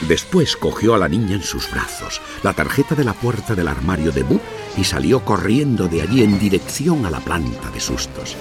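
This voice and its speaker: A man narrating a children's story in an expressive, theatrical scripted style. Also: sits low, moderate pace, over music.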